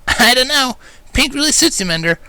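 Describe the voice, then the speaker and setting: Guy doing a cocky teasing girl pony, high voice, cartoon story character. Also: animated, fairly quick, sharp tone, clear, resonant tone, no echo, heavily acted. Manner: teasing, persistent. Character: brash, teasing young female cartoon pony.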